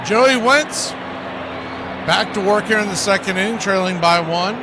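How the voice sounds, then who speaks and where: A man with a fairly high voice delivers live baseball play-by-play as a radio announcer. Clear background noise runs behind his commentary. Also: measured pace.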